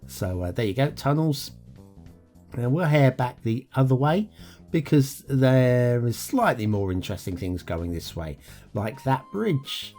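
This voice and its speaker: A man's voice: mid-range voice, sing-song, a little slow, darkish tone, soft background music. Chatty man reviewing game maps. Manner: chatty, self-mocking, chuckles often, trails off with ums. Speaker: game map reviewer, show host. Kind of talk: casual gameplay commentary.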